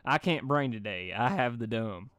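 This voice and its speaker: A man voicing a dim, trusting, folksy female farm pony from a cartoon, slow on the uptake. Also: medium pitch, lively intonation, fairly bright tone, clear, resonant tone, no room sound, lightly acted. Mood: dazed, cheerful.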